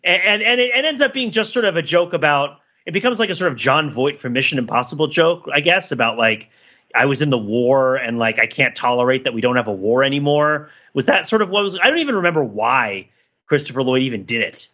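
A man's voice: a little high, animated, a little fast, sharp tone, muffled recording. A man in a casual analytical discussion, rambling emphatically, repeating words and asking rhetorical questions as a podcast panelist.

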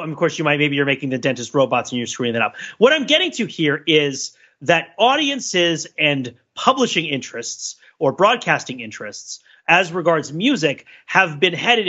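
A man hosting a podcast, talking through ideas in a casual, analytical and halting way, often checking in with "right?". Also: fairly high pitch, sharp tone, highs cut off. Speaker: podcast host.

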